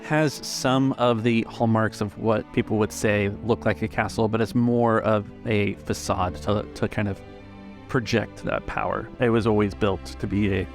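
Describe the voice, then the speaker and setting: A man narrating a tabletop game as game master, describing scenes in halting, searching phrases. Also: fairly low pitch, steady tone, gravelly, soft background music.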